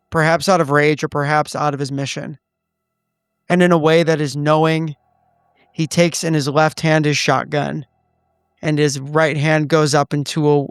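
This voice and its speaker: A man narrating a tabletop horror game in a deliberate, dramatic, descriptive way. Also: on the high side, smooth, dry recording, clean studio sound. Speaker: tabletop roleplaying game cast member.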